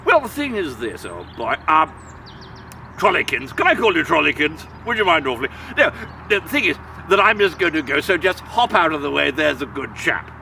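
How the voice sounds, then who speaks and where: A man's voice: on the high side, big pitch swings, moderate pace, gravelly, sharp tone, slight background noise, voice pushed down, exaggerated voice. A man voicing a big, pompous billy goat with a plummy, drawling, condescending manner, recorded outdoors from a distance. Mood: smug, genteel.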